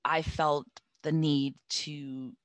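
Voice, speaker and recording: A woman with a deep voice, a singer chatting as a guest in an interview. She talks reflectively and restarts her sentences often. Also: flat delivery, no room sound. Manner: reflective, halting, with many restarts. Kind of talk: casual interview chat.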